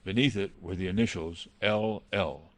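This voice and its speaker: A deep-toned butler played by a man speaks with anxious respect and formal hesitation. He sounds loyal and pleading, as in a classic mystery story, recorded very dry. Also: moderate pace, dry recording, natural voice. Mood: hesitant, confiding. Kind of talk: dramatised reading of a classic novel.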